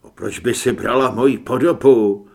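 A man voicing a big, blunt, gruff male monster hunter in an audiobook. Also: mid-range voice, darkish tone, pitched below the performer's own voice, natural voice. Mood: baffled.

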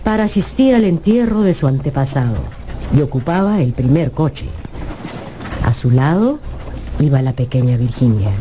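A man narrating a classic story for a radio drama in an expressive storytelling manner. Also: medium pitch, normal speed, a little hiss or hum.

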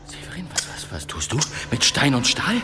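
An actor playing an anxious, doubting sidekick in a German audio drama, agitated and stammering. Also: male voice, mid-range voice, very animated, normal speed, in a large hall, music in the background, natural voice. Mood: panicked. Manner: stammering, agitated, breathy outbursts. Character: anxious, doubting male sidekick Jakob, easily panicked.

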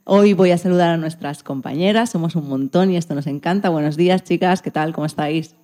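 A woman's voice: sits low, brisk, warm tone. A woman with an accent from Spain, hosting a radio programme and addressing listeners and co-hosts. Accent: Spanish from Spain.

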